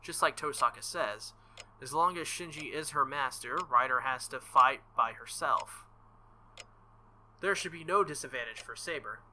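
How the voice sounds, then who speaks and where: Very bright-voiced man reading game narration. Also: mid-range voice, moderate pace, slight background noise, rough recording. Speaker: gaming video host. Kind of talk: reading visual novel narration aloud.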